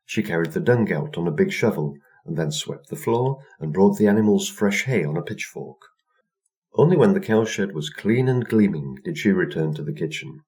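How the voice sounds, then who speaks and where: A man's voice: deep voice, lively intonation, normal speed, a little mellow. A man narrating a scripted folktale for children as a storyteller.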